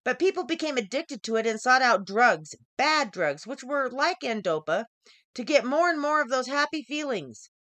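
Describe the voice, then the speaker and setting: A woman's voice: high voice, big pitch swings, normal speed, fairly bright tone, no echo. A woman voicing a stern, scolding mother who lectures and explains in a story reading. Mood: lecturing. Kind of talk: acted dialogue in short story reading.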